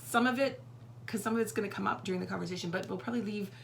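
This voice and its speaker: A woman co-hosting a casual podcast chat, hoarse today, with a husky, scratchy voice, chatty and rambling, with many fillers. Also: medium pitch, rapid-fire. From Canada. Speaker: podcast co-host.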